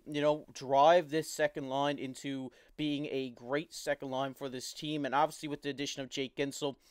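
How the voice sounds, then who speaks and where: A man's voice: fairly high pitch, no echo, clean studio sound. A man from Newfoundland with a thick Newfoundland accent, hosting an enthusiastic hockey analysis show, with many fillers and restarts. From Newfoundland, Canada. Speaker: host.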